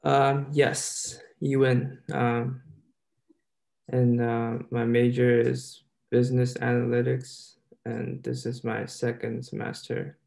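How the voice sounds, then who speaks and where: A man's voice: medium pitch, level tone, slow, gravelly, darkish tone. A man from China introducing himself as a student on a video call, brief and hesitant. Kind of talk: self-introduction.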